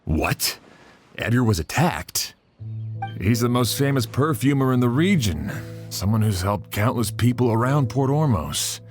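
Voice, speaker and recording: Concerned town sheriff, video game character, highly expressive intonation, emphatic, earnest, light music behind. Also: male voice, measured pace. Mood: shocked concern.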